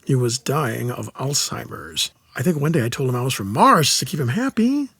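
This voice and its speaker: A man's voice: mid-range voice, sing-song, moderate pace, vocal fry, a bit bright, husky, no echo, clean studio sound, full character voice. An actor playing a sardonic, exasperated older man in an audio comedy drama, grumbling with an American accent. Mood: sarcastic, irritated. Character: gruff, exasperated old-school older man teaching a kid.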